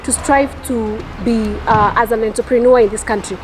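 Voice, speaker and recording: A woman's voice: on the high side, fairly even intonation, moderate pace, poor audio. Kenyan woman, earnest and reflective, talking about her business, faint noise behind. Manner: earnest, reflective, faith-filled, speaks in repeated phrases. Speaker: entrepreneur, property developer. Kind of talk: interview answers.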